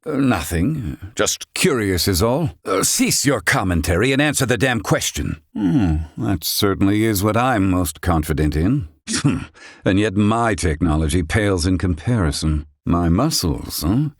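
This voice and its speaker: A man's voice: sits low, animated, a little slow, no echo, clean studio sound, full character voice. An actor playing a proud, grumpy, brainy older man in a video game, with pompous, blustering, wordy old-fashioned diction. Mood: gruff, composed. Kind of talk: dramatic English-dub game acting.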